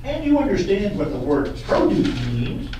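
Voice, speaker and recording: A man's voice: sits high, wildly swooping pitch, normal speed, mellow. A man playing an insistent, pedantic attorney who repeats questions in a puppet courtroom cross-examination. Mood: pressing, insistent. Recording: echoey room, distant mic, faint noise.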